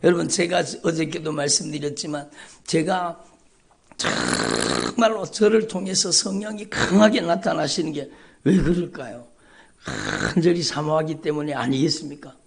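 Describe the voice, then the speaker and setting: A man's voice: on the high side, huge pitch swings, moderate pace, creaky. A male pastor preaching an emphatic, repetitive sermon at a dawn church service, calling out often to the congregation. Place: church sanctuary.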